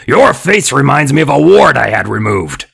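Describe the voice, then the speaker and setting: A man voicing a nasty, insulting ventriloquist dummy come to life in a storybook, with a raspy growl. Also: medium pitch, big pitch swings, moderate pace, fairly bright tone, heavily acted. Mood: nasty, mocking. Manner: mocking, insulting.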